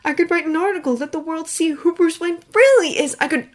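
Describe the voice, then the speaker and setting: Woman doing an earnest sorry young guy, high strained pleading voice, gets loud. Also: brisk.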